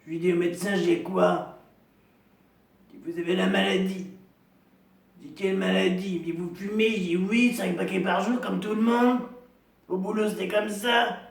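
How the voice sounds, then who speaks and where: A man playing a lonely, proud woman in her fifties, a chain-smoking heavy drinker with a deep, gravelly voice who rambles slowly and repeats herself in a comic monologue. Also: steady tone, roomy sound, far from the mic. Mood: rambling. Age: 50s. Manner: slow, rambling, repetitive, self-pitying.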